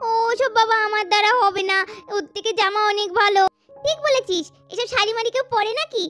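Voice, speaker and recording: A woman's voice: extremely high pitch, brisk, a bit bright, soft background music. A woman voicing a little schoolgirl doll in a children's cartoon, chatty and bickering in a childish, colloquial way. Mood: gossipy, catty. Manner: childish, colloquial scolding and teasing.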